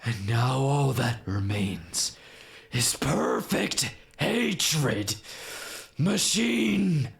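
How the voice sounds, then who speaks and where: Proud, vengeful angel warrior for a game: very slow, grandiose delivery, gravelly edge, light reverb. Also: male voice, medium pitch, animated, voice pushed up, heavily acted. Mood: brooding hatred, then furious. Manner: grandiose, archaic, slow dramatic declamation. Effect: added reverb and delay.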